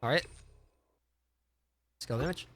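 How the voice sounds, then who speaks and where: A man's voice: slow pace, heavy background noise. A man giving casual live gameplay commentary, often muttering to himself as he plays. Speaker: game streamer. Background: loud game music.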